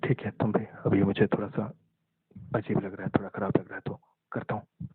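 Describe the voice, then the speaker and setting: A young man in an intimate phone conversation, talking in a halting, subdued, resigned way. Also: on the low side, level tone, breathy edge. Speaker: caller talking with an ex-partner. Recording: muffled narrow-band phone call, echoey.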